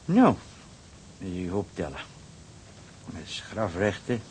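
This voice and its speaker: Chattering, money-minded village gravedigger. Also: male voice, low-pitched, sing-song, slow pace, darkish tone, slight background noise, exaggerated voice. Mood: put out, reckoning. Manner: chatty, hesitant, wheedling salesman, repetitive.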